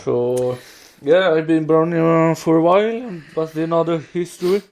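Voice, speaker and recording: A fairly high-pitched man chats on a casual fan podcast. He is an English speaker who talks in short, broken phrases as co-host. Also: normal speed, a little mellow. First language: not English.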